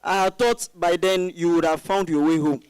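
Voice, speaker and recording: A man's voice: a little high, fairly even intonation, normal speed, darkish tone, clear, resonant tone, no room sound, lightly acted. A man performing an apologetic, evasive husband in a dramatized dialogue reading, explaining himself defensively.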